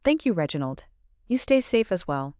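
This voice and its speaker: A woman voicing a sharp, worried young woman with an expressive, anxious way of speaking. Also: fairly low pitch, normal speed, no echo, studio quality. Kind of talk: audio drama storytelling.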